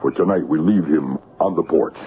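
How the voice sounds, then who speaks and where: An actor playing a composed, deferential Congolese servant in an old radio drama, speaking in a measured, grave, respectful way. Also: male voice, rumbling low, loud music behind the voice. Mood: solemn, conspiratorial. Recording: band-limited tinny vintage radio broadcast, faint noise.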